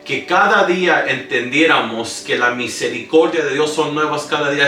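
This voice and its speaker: Man preaching earnestly, bright roomy sound. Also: mid-range voice. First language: English-dominant speaker preaching in Spanish. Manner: earnest preaching with scripture reading and slips. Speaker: pastor. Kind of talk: sermon. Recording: roomy, distant mic with soft music. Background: soft instrumental music.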